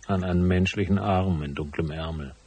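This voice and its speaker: An actor playing a cool, laconic private investigator who narrates his case in a radio drama, with a husky voice. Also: low voice, steady tone, moderate pace, very noisy. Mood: cool, brooding. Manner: laconic, matter-of-fact.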